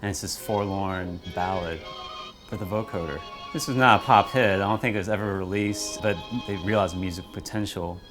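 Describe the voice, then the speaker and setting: A man's voice: sits low, normal speed. A man speaking in an explanatory documentary interview as a history commentator. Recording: music bed behind interview.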